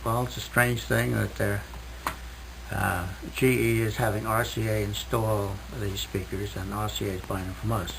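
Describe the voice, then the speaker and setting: Halting man on a noisy old radio recording. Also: fairly low pitch, flat delivery, gravelly. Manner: halting, hesitant, precise technical phrasing. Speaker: interview guest, loudspeaker inventor. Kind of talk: technical explanation in a radio interview.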